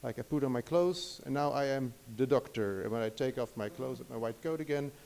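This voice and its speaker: A man's voice: medium pitch, a little fast, gravelly, a little mellow. A middle-aged Dutch man with a Dutch accent giving an academic lecture in English, hesitant, with many restarts and fillers. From Netherlands. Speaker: guest lecturer.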